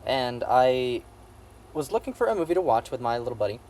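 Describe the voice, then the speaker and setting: Male amateur film critic, mid-range voice; halting, repetitive, sweary, casual comedic delivery. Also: mid-range voice, very animated, darkish tone. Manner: halting, repeats phrases emphatically, profane. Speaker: amateur movie reviewer. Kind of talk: rambling casual comedic movie review.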